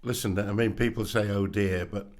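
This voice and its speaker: A man in his seventies from England, a podcast guest telling stories in an unhurried way with dry wit. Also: lowish voice, steady tone. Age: 70s. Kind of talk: casual interview chat.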